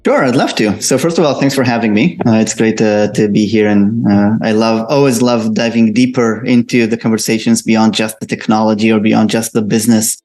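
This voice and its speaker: A middle-aged man answering interview questions as a tech founder, conversationally, often pausing on ums and uhs. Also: lowish voice, steady tone, darkish tone, soft background music. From Israel. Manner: hesitant pauses with frequent ums and uhs. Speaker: interview guest, tech company co-founder and CEO.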